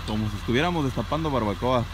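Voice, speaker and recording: A man in his thirties with a Mexican accent, a landscaper chatting casually to his viewers as friends and often repeating himself, recorded on a handheld phone. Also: quick pace. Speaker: landscaper and vlogger. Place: outdoors at a dump yard and inside a truck. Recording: noisy phone recording with vehicle rumble.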